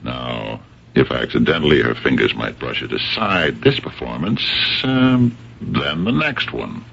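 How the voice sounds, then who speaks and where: Gravelly dogged cop captain in an old radio mystery, pitch swings a lot, muffled recording. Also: male voice, very animated, fairly bright tone, a little hiss or hum, full character voice. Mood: probing, measured. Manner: deliberate, hesitant probing. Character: shrewd, dogged, unhurried male police captain questioning a suspect. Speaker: radio drama actor. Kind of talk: 1980 radio mystery acting.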